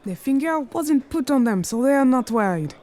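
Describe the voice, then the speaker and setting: A woman's voice: high voice, lively intonation, normal speed, darkish tone. An actress playing an imperious, cunning gambling queen of 1920s Harlem in a period audio drama, proud and commanding. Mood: scheming, defiant. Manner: commanding, haughty.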